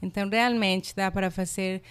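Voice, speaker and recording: A woman's voice: mid-range voice, fairly even intonation, normal speed, smooth. A middle-aged woman from Mexico, a native Spanish speaker with a Spanish accent, chatting enthusiastically in an interview and using many fillers. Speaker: college international representative. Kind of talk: casual interview.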